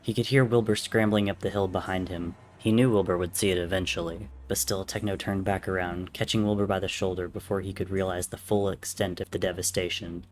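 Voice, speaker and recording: A man's voice: deep voice, fairly even intonation, a little fast, few pauses. A man reading fiction as a dramatic, scripted narrator. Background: soft music or ambience.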